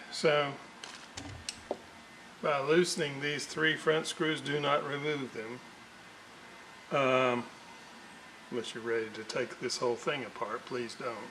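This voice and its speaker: A man giving an unscripted hands-on workbench demonstration as a hobbyist restorer, haltingly thinking aloud as he works. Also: medium pitch. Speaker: hobbyist restorer presenting a repair video. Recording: noisy room recording with clear background noise.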